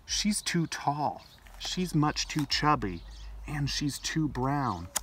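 Man as hushed, gossipy mean schoolkids. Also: on the high side, sing-song, slow pace, pitched above the performer's own voice, full character voice. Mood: spiteful whispering. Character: mean whispering schoolchildren in a storybook. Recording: distant mic, faint outdoor noise.

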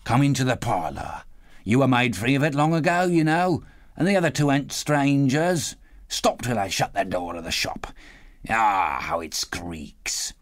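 A man reading aloud, voicing a sly old male pawnbroker who talks in a slow, wheedling way. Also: mid-range voice, expressive, dry recording, clean studio sound, pitched above the performer's own voice.

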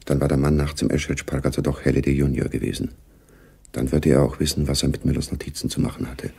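A man narrating a spy radio drama gravely, with a deep, gravelly voice. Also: little pitch movement, fast talker, a little mellow, exaggerated voice.

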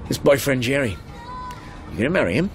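Actor as a brisk, fast-talking male driver. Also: mid-range voice, sing-song, music in the background, a crowd in the background, natural voice. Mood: probing, assured. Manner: brisk, assured, persuasive. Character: married male driver, assured, persuasive, insistently helpful. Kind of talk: naturalistic radio drama acting. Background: car interior ambience, faint engine noise.

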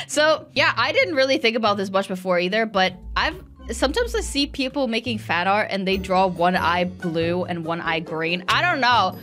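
A woman's voice: medium pitch, lively intonation, moderate pace, a bit bright. Theatrical young woman host over background music. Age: young adult. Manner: theatrical, playfully exaggerated. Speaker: gaming YouTuber, host. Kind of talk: playful casual chat, reading and answering viewer comments. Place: small indoor room set up for streaming. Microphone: close desk microphone with headphones.

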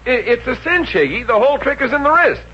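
A man's voice: very high-pitched, very animated, fast talker. An American actor playing an eager, cheeky young insurance salesman in a 1950s radio comedy. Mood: breezy, persistent. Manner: ingratiating, persistent sales patter. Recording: noisy, muffled old radio recording.

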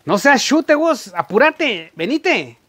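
A man's voice: extremely high pitch, big pitch swings, takes it slowly, pushed and bright, pitched above the performer's own voice, heavily acted. A man playing a boisterous Guatemalan buddy, with a Guatemalan accent and a slangy, urging manner, for a vlog.

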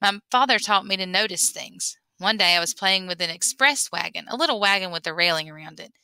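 A woman reading a memoir aloud in an expressive storytelling way. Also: medium pitch, fairly quick, creaky, smooth, dry recording. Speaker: reader.

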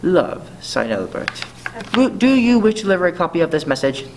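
A man's voice: sits high, very animated, brisk. A young man playing a businesslike telegram clerk in a period drama, reading out messages in an official manner. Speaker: amateur actor in a drama. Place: dark stage, indoors. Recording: faint noise and ambience.

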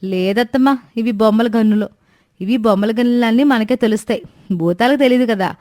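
A woman's voice: a little high, brisk, darkish tone, no room sound, clean studio sound, natural voice. A woman voicing an eager, naive young daughter-in-law in a cartoon moral story, confident and respectful as she explains. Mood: eager explaining. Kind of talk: animated Telugu moral story dialogue.

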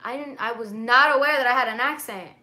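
Bright-voiced young woman, chatty and slangy. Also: on the high side, brisk. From Dominican heritage. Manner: chatty, swears freely, slangy, lots of filler "like". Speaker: vlogger on a livestream. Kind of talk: casual livestream chat, reading out viewer comments. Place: bedroom at night, wrapped in a blanket. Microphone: webcam or phone at arm's length.

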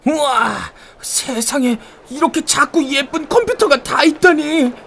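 An actress playing an amazed, excitable female fair visitor in a children's audio storybook, gushing and wide-eyed. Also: high-pitched, measured pace, gravelly, slight background noise, full character voice.

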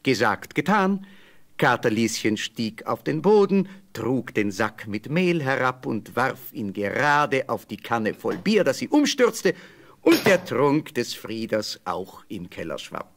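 A man narrating a fairy tale from a script as an expressive storyteller. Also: medium pitch, measured pace.

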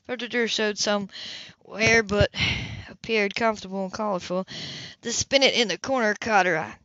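A woman's voice: medium pitch, normal speed, a bit bright, no echo, highs cut off. Woman reading aloud with slips. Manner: reads with slips and stifled laughs. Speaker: audiobook reader. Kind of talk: amateur audiobook reading.

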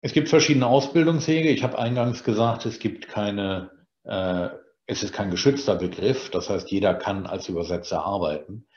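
A man's voice: fairly low pitch, normal speed, a little mellow. A middle-aged bilingual man, raised in Germany and England, presenting an online lecture from his home study with many "äh" fillers. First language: German and English, bilingual. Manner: explains slide points, frequent "äh" fillers. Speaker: translator giving a presentation. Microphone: webcam on a video call. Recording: roomy, dull lo-fi sound.

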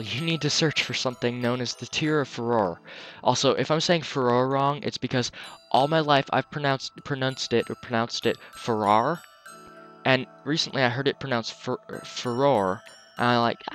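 A man's voice: mid-range voice, a bit bright. A man on a headset commenting on a video game, reading on-screen dialogue aloud with stumbles and jokes. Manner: joking, stumbles and restarts while reading. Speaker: let's play commentator. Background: game music.

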